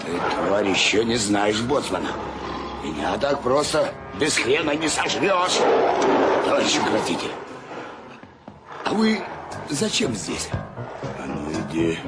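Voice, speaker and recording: An actor playing a gruff, bullying ship's boatswain who barks mocking orders in a comedy radio play. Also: male voice, fairly low pitch, big pitch swings, takes it slowly, pauses often, loud music behind the voice, full character voice. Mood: defiant, mocking.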